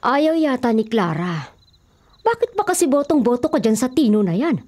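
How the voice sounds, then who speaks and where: A woman's voice: a little high, very animated, moderate pace, dark tone. Nagging, exasperated older mother. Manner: nagging, scolding. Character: exasperated, sharp-tongued older mother and politician's wife. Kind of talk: Filipino radio drama acting.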